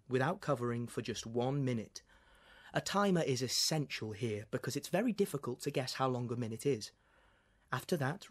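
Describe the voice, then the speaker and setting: Young guy doing a calm cooking-show host, careful steady instructions. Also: mid-range voice, lightly acted. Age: young adult. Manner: measured, gentle, precise instructional delivery. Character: calm, cheerful cookery presenter giving instructions. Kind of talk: reading a cookery recipe as a monologue.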